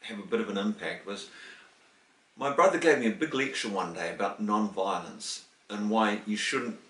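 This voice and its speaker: A New Zealand man in his late fifties talks through his past in a loose, chatty way, often restarting his sentences. His voice sits fairly low. He is recorded in an echoey room a little away from the mic. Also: moderate pace, a bit bright, distant mic. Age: 50s. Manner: rambling, with restarts and chuckles. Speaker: interviewee. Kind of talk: casual interview answers. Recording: roomy, voice from the mic.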